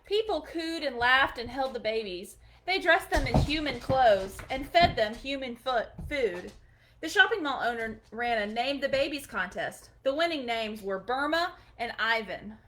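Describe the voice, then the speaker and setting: A woman's voice: on the high side, animated, measured pace, pushed and bright, a little hiss or hum. A woman hosting a storytime, reading a picture book aloud expressively for children, with short chatty asides.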